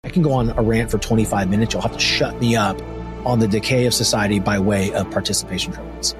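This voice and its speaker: A man's voice: on the low side, steady tone, quick pace, over music. A man hosting a podcast, chatting casually and introducing his guest. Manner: laid-back, conversational, fillers. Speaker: podcast host. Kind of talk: casual interview chat.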